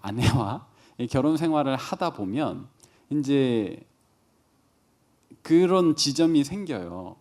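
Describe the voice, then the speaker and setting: A man's voice: medium pitch, very animated, takes it slowly, long pauses, a little mellow. A man in his thirties preaching a conversational sermon as a pastor, posing questions and answering them himself. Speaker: pastor preaching to young adults.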